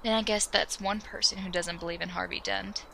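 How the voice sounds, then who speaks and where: A woman's voice: mid-range voice, steady tone, a little fast, vocal fry, a bit bright, slight background noise. A woman acting a confident, teasing, supportive teenage schoolgirl in an amateur audio drama, wry and reassuring.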